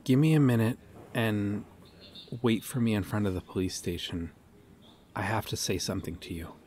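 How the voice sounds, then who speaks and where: A man playing an earnest, guarded police detective in an ASMR audio drama, speaking haltingly with long pauses. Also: on the low side, darkish tone, slight background noise, natural voice. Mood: grave, methodical. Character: earnest, guarded male police detective questioning someone he cares for. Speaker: voice actor in an audio roleplay. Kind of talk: ASMR roleplay drama, acted one-sided dialogue.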